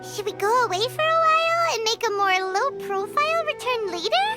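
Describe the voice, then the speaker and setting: A woman voicing a tiny, chirpy female fairy companion in a game, shrill and excitable. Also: normal speed. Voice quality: shrill, squeaky. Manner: excitable, exclamatory. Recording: game audio under loud music.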